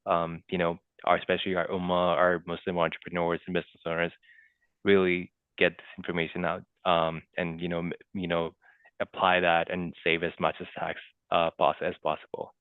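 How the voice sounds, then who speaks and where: Low-voiced man on a tinny line. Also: monotone, fairly quick, clear, resonant tone, no echo. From Pakistan. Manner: chatty, leans on "you know" and "right". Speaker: guest accountant. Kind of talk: podcast interview. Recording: tinny dry call audio.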